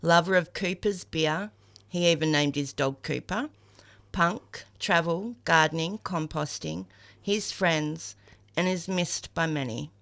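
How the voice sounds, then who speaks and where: A woman's voice: sits low, unhurried, gravelly, fairly bright tone. An Australian woman reading scripted memorial tributes for a podcast.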